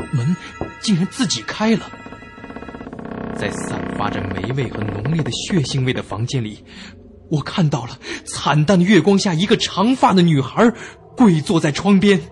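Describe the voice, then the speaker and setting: A man playing a young traveller narrating his frightening story in a suspense radio drama, theatrical and full of suspense. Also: fairly high pitch. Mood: horrified. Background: loud background music.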